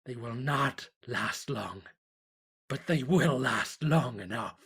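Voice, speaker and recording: A man's voice: medium pitch, expressive, fairly bright tone, slightly rough, exaggerated voice. Man acting a solemn, fanatical cult chronicler. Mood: grim, exultant. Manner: solemn, declamatory, deliberate. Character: fanatical cult chronicler writing a diary, reverent and zealous. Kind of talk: dramatic horror story narration.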